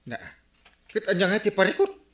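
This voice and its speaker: Exasperated, animated village captain, swooping pitch. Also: male voice, moderate pace, roomy sound. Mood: curious, puzzled. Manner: animated, exclaiming, reproachful. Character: exasperated, scolding male village captain in comedy. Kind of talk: Ilocano radio comedy drama acting.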